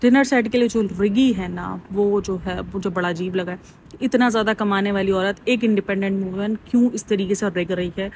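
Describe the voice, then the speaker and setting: Chatty woman vlogger, faint hiss. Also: medium pitch. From Pakistan. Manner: chatty, opinionated, keeps calling listeners "bhai". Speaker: vlogger reviewing celebrity vlogs and TV shows. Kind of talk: casual gossip commentary.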